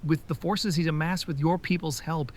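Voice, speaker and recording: A man voicing an earnest male military officer in a fan audio drama, measured and pleading. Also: fairly high pitch, fairly even intonation, a little hiss or hum, lightly acted.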